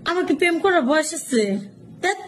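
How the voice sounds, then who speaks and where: A woman's voice: extremely high pitch, fairly quick, slight background noise. A woman playing a flustered, disbelieving caller in a short comedy skit.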